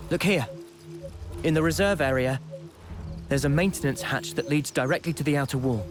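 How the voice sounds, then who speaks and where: A man playing an earnest, resolute young squad leader in a video game, measured and thoughtful in delivery. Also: a little high, close to an everyday voice. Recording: music behind.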